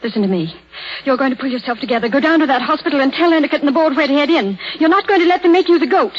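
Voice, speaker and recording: An actress playing a fiercely loyal, blunt and indignant young nurse in a 1930s radio drama. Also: female voice, sits high, lively intonation, racing. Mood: urgent, stern. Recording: muffled, noisy 1930s radio broadcast.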